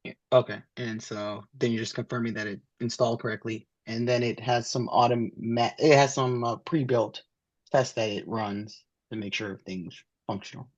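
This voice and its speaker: A man learning as a student, thinking aloud haltingly as he reads through material and checks his understanding. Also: medium pitch, fairly even intonation, no room sound, dull audio. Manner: tentative, halting, asks to confirm understanding. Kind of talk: thinking aloud while reading through code.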